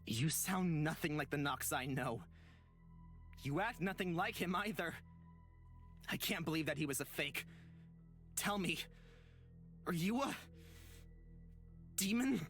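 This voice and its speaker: Earnest young heroine. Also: female voice, sits low, expressive, a bit bright, heavily acted. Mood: wary, probing. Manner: earnest, dramatic, deliberate phrasing. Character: earnest young protagonist pleading with a possessed friend. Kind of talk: visual novel voice acting. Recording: music behind the voice.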